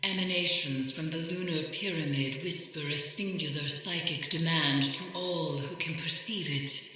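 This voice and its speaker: A woman's voice: fairly low pitch, bright, forceful tone. A woman voicing a grave, mystical video game character who battles nightmares, speaking in a solemn, ominous and formal way. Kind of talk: dramatic video game dialogue. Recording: echoey with long hall reverb.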